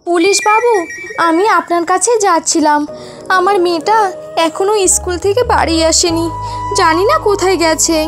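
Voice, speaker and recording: Woman as a high-pitched, fretful mother bird. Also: normal speed, soft background music. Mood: anxious. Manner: scolding, fretful. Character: strict, worrying mother bird.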